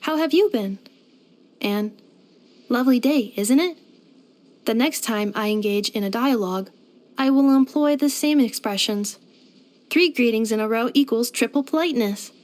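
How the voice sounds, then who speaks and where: A woman's voice: a little high, moderate pace, long pauses, a little mellow, natural voice. A woman voicing a polite, helpful robot maid companion in a video game, speaking in a formal, precise, earnest way. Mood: earnest, curious. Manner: formal, precise, clipped system-report phrasing. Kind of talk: scripted video game character voice lines.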